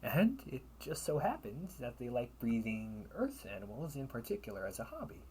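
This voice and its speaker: A man's voice: mid-range voice, wildly swooping pitch, dark tone, a little hiss or hum. A man playing a sly, wealthy older brother in a sci-fi audio roleplay, with theatrical, formal, teasing delivery. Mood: fond, teasing. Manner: theatrical, formal wording with teasing chuckles and drawn-out pauses. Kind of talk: solo audio roleplay addressed to the listener.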